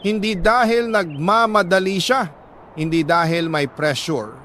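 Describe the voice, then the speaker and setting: A Filipino man narrating a listener's letter as a radio storyteller, in a measured, sentimental and dramatic way with deliberate pauses. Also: high voice, a bit bright, slight background noise. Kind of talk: dramatic first-person scripted narration in the letter writer's words.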